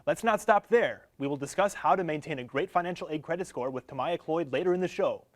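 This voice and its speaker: A man's voice: fairly high pitch, fast talker. A young man hosting a student-produced studio program, reading his lines a bit stiffly and eagerly. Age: young adult. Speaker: student show host.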